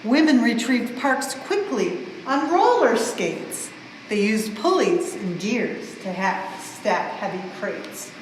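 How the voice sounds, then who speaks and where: A woman reading a rhyming storybook aloud in an expressive, measured way, as for a museum storytime. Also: on the high side. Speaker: museum staff member reading a children's book aloud. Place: indoors in a museum exhibit space. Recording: very echoey reverberant hall, faint background noise.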